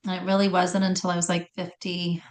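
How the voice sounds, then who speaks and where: A middle-aged woman with a raspy voice telling her personal story in conversation, often stopping to correct herself. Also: on the low side, completely flat delivery, brisk. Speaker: guest telling health story.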